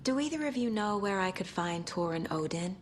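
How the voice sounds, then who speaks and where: A woman playing a level-headed investigator in a game, measured and questioning. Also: medium pitch, animated, a little hiss or hum, close to an everyday voice. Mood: composed. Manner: measured, questioning, muttering to herself. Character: focused, level-headed adult female investigator.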